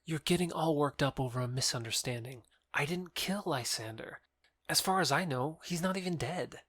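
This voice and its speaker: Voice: mid-range voice, moderate pace, fairly bright tone, no room sound, studio quality, lightly acted. An actor playing a lovesick, bitter young man rejected by his beloved, earnest and wounded, in an audio drama. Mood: defensive. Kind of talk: theatrical audio drama acting.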